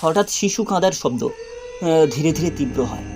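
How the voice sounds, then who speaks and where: High-pitched male suspense storyteller. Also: expressive, darkish tone, soft background music. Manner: suspenseful, short phrases with pauses. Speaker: narrator. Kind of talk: scripted dramatic story narration.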